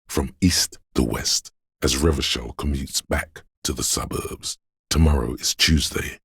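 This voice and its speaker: Game role: an omniscient, ominous city spirit, extremely low and husky, poetic second-person narration. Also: male voice, no echo, clean studio sound, exaggerated voice. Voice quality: very deep gravelly, husky voice. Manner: slow, hushed, poetic, ominous. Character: brooding omniscient spirit of a city, an inner sense.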